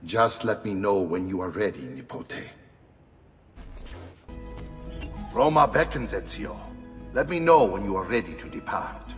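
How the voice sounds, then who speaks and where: Grave old Italian uncle, echoing hall. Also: male voice, on the low side, lively intonation, moderate pace, fairly bright tone. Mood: solemn. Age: older. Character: resolute older Italian uncle, seasoned fighting leader. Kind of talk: video game cutscene acting. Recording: long reverb, music behind.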